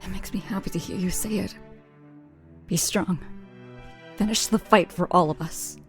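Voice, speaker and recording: A woman's voice: on the low side, moderate pace, music in the background, lightly acted. A woman playing a stern female leader and reluctant mother figure with heavy-hearted feeling in an amateur audio drama. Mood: tender, weak.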